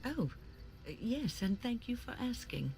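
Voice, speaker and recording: An actress playing an older woman mage in a fantasy video game, speaking in formal, measured phrases as an earnest, kindly counselor. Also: a little high, big pitch swings, darkish tone, a little hiss or hum, full character voice. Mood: kindly, encouraging. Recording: game music behind the voice.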